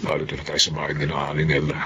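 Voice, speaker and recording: A man's voice: rumbling low, completely flat delivery, fast. A man acting a hot-tempered, bossy thief with a Filipino accent, commanding and irritable, in a radio drama. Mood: low, scheming. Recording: dull lo-fi audio, faint noise.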